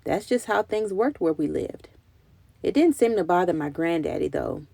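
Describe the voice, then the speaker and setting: A woman narrates a children's storybook with expressive, deliberate delivery. Her voice is relaxed and dark with a creaky edge, recorded dry and close. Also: fairly low pitch, no room sound. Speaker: children's book reader.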